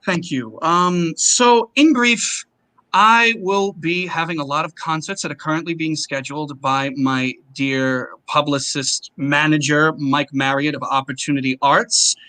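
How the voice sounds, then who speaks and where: A man's voice: fairly high pitch, fairly even intonation, a little slow, sharp tone, low-quality recording. A man guesting on an interview show, talking about his concerts in wordy, formal phrasing with playful flourishes. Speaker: opera singer guest. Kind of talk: casual interview chat.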